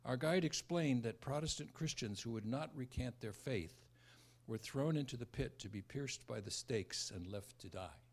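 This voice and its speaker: An American man in his seventies giving a sermon with scripture and personal stories, in a halting way with repeated words and restarts. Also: mid-range voice. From born in America, raised largely in Southeast Asia. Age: 70s. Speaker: preacher, former missionary.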